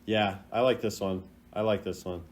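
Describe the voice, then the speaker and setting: A man's voice: fairly low pitch, fairly even intonation, normal speed. A man hosting a video in casual unscripted commentary, rambling and hesitant in a laid-back way. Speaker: host ranking game voice lines.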